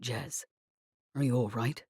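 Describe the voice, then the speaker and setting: Woman reader as a hushed, strained scholarly man. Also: rumbling low, fairly even intonation, slow, vocal fry, slightly rough, no room sound, clean studio sound, voice pushed down, exaggerated voice. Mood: strained, worried. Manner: hushed, hesitant, restrained. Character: careful, anxious scholarly man, calm but strained. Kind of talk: audiobook character voice.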